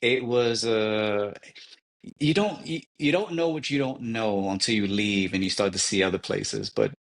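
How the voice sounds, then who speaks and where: Measured, low-voiced man from New York. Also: very animated. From New York City. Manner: measured, reflective, with restarts. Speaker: guest, leadership advisor. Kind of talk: interview conversation.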